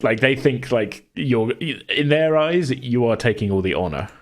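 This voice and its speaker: A man brainstorming casually as a podcast host, thinking aloud in a halting way. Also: medium pitch, animated. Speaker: tabletop role-play podcast host and game master.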